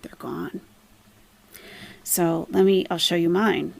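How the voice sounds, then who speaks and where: A woman's voice: lowish voice, fairly even intonation, slow pace, vocal fry, dry recording. A woman hosting a casual live commentary stream, explaining things emphatically to viewers and asking rhetorical questions. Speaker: livestream host, YouTube commentator.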